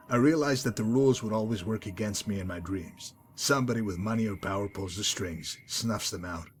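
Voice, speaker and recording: A man playing a calm, menacing hitman with a bitter past in a video game, speaking in a measured, deliberate, coolly condescending way. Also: lowish voice, slight background noise, lightly acted. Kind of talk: dramatic video game mod monologue and dialogue.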